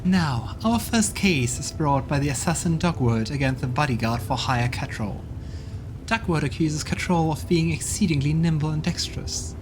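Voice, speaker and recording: A man's voice: on the high side, lively intonation, moderate pace, noisy background, pitched above the performer's own voice, natural voice. Man playing a stern woman demon judge. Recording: music in the background.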